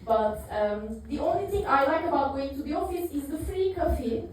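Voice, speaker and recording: A woman's voice: high-pitched, lively intonation, normal speed, a little hiss or hum. A woman in her thirties doing a dry, self-mocking stand-up routine, full of fillers and small laughs. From Turkey. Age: 30s. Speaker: stand-up comedian. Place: live comedy venue, audience laughing. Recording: echoey room picked up from far off.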